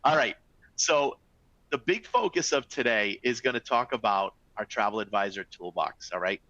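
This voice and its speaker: A middle-aged man with a Boston accent gives a friendly sales webinar, speaking in short bursts and addressing listeners as folks. His voice is bright and forceful. The audio is dry and a little dull, like a webinar feed. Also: animated, normal speed, no room sound. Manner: upbeat, chatty sales pitch with frequent "all right?" and "folks". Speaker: travel company executive presenting a webinar. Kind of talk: training webinar presentation.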